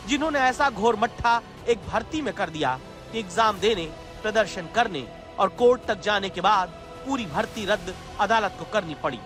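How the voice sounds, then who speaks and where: A man presenting scripted TV news narration in a dramatic, rhetorical way. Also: high-pitched. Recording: loud music bed under voice. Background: music.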